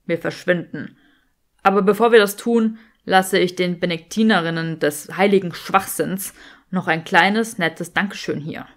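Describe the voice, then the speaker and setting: A woman's voice: fairly low pitch, normal speed, natural voice. A woman voicing a sardonic, grumbling young male wizard in an audiobook, dry and emphatic.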